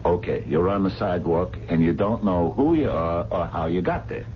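An actor playing a gruff, sardonic working-class diner man in a radio drama, impatient and full of slangy grumbles. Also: low-pitched, animated, moderate pace. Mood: probing. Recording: dull, noisy vintage radio broadcast.

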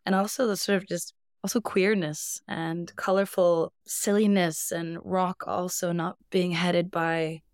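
A woman's voice: lowish voice, flat delivery, measured pace, few pauses, clean tone, dry recording, studio quality. A young woman from Iceland, a band's singer, telling stories in a casual interview with frequent laughs. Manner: reflective, laughs often, storytelling. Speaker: band singer, guest.

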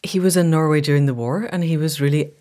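A woman hosting a podcast, speaking in a measured, earnest and articulate way, as in an introduction or an interview. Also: low voice, mellow. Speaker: podcast host. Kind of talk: scripted podcast introduction, then conversational interviewing.

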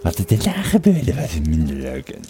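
Old legionary veteran, male actor, audio play: bass-deep, halting, grim storyteller, crowd murmuring behind. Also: wildly swooping pitch. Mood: grave, reminiscing. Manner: slow, halting, dramatic storyteller.

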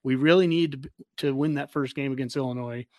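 A man's voice: mid-range voice, steady tone, a little fast, no room sound, clean studio sound. An American man hosting a casual sports discussion, talking in an emphatic, opinionated way. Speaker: podcast host.

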